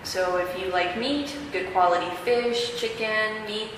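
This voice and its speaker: A woman's voice: medium pitch, unhurried. Young woman in a very echoey hall. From Peru, raised in the United States. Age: young adult. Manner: relaxed, fluent, explanatory. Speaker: guest, video blogger. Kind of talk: unscripted interview answers. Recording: very echoey hall, faint noise.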